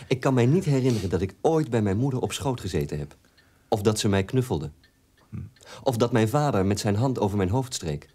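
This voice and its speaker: An actor playing a wounded, unloved grown son in a radio drama, telling it plainly and deliberately. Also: male voice, lowish voice, mellow.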